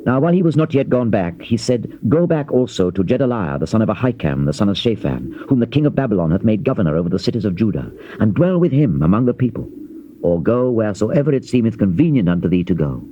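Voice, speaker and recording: Dull-sounding tape of solemn, expressive man. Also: fairly low pitch. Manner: solemn, measured, declamatory. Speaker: scripture narrator. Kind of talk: dramatized Bible reading, theatrical scripted narration. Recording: dull lo-fi cassette with noise.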